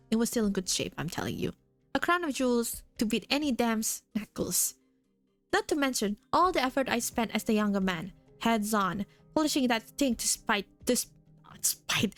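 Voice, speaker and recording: A woman voicing a warm, rambling older man who keeps a hotel, with faint music behind. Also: a little high. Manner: rambling, fond, nostalgic. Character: warm, chatty older male hotel keeper.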